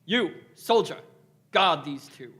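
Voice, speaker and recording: A man's voice: on the high side, expressive, slow, a bit bright. A man playing a stern ancient Egyptian military leader in a radio play, barking declamatory orders. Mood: outraged, resolute. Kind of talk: amateur radio play performed live.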